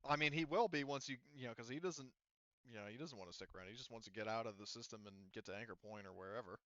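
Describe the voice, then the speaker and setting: A man acting as game master, explaining rules and story in a casual, halting, conversational way. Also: mid-range voice, a bit bright, dry recording, muffled recording. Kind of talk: casual tabletop game narration and rulings.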